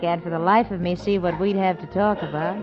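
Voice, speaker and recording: An actress playing a cool, guarded nightclub organist in a 1940s radio drama, aloof and dryly flirtatious. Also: female voice, lowish voice, fairly even intonation, brisk, close to an everyday voice. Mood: cool, teasing. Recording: dull old radio recording, organ music.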